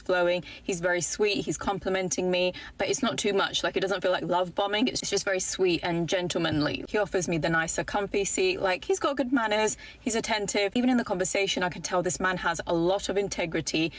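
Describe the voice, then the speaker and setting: Brief: woman in her 30s, fast-talking, lower voice, casual storytelling vlogger, chatty. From Britain. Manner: chatty, talkative, filler-heavy with frequent "like".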